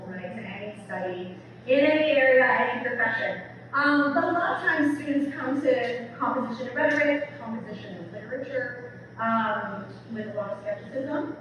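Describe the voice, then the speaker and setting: A woman speaking as an English professor giving a public book talk in a conversational manner, often checking in with her listeners. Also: a little high. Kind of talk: public lecture, semi-scripted. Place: large reverberant room, recorded from afar. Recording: distant mic, echoey hall, noisy background.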